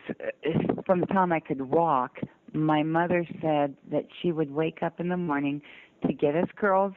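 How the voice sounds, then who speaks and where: An older woman from the American South tells personal stories in an earnest, deliberate way. Her voice is low for a woman and comes through a narrow, dry telephone line. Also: no room sound. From southern United States (Georgia, North Carolina). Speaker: interview guest. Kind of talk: personal storytelling in conversation. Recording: muffled narrow phone-line sound.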